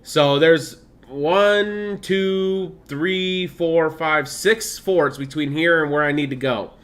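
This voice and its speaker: Male streamer, 30s, blended Midwestern/Southern/Californian accent, slightly high pitch, chatty and laughing. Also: takes it slowly, a bit bright, clear, resonant tone. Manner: chatty, laughs often, reads game text aloud. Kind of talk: casual gameplay stream commentary.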